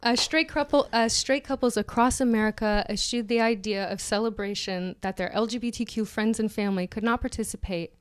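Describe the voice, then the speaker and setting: A woman's voice: fairly high pitch, fairly quick, few pauses, smooth. A woman co-hosting a comedy podcast, reading an article aloud mock-dramatically with joking asides and often breaking into giggles. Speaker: podcast co-host.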